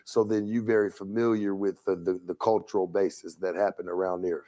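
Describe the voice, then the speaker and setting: A man hosting an interview in an older clip, asking questions with halting restarts. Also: low voice, little pitch movement, warm tone. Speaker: show host interviewing a guest.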